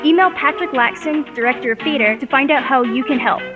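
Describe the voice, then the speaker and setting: A teenage female student presenter with a high-pitched voice. She delivers a scripted address to the audience. Music is heard behind her. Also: brisk, narrow, tinny audio. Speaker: student presenter, drama performer.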